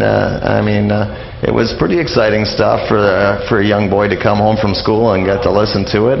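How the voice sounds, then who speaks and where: Low-voiced man, halting, in a roomy lo-fi recording. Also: gravelly. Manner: halting, anecdotal explaining. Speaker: radio interview guest on old-time radio history. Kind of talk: informal interview, explaining and reminiscing. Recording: dull lo-fi radio, roomy, crowd behind.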